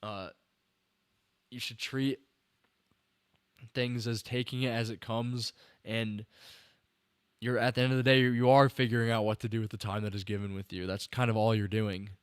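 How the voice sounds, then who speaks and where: Young male streamer, mid pitch, smooth clear voice, dry close mic, a bit tired, rambly. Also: level tone, normal speed, long pauses, no echo. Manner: rambling, restarts sentences, talks to chat viewers. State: slightly tired. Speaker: podcast host livestreaming a film breakdown. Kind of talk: casual enthusiastic film commentary to chat.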